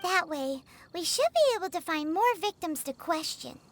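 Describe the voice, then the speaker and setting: A woman voicing a tiny childlike fairy companion in a video game, squeaky and perky, with music behind her. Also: sing-song, moderate pace, slight background noise, heavily acted. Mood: matter-of-fact. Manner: perky, speaks of herself in third person. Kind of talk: video game character acting.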